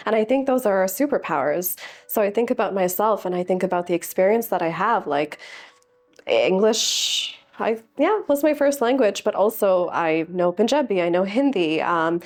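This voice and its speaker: A woman's voice: mid-range voice, a little mellow. A woman in her early thirties answering interview questions in a thoughtful, earnest way, often using filler words. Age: early 30s. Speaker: interview guest speaker. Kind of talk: reflective conversational answers.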